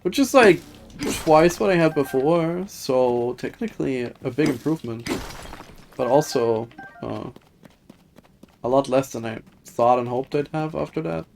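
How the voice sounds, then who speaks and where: A woman's voice: very deep voice, expressive, unhurried, vocal fry, darkish tone, slight background noise. A woman game streamer chatting casually and thinking aloud in a rambling, hesitant way. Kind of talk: casual livestream chat. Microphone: streaming setup with webcam. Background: music.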